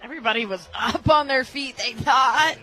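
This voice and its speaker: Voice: high voice, moderate pace, pushed and bright. A radio color commentator in a stadium booth, chatting and musing about the game and laughing along with the play-by-play caller. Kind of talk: casual booth banter on live sports radio.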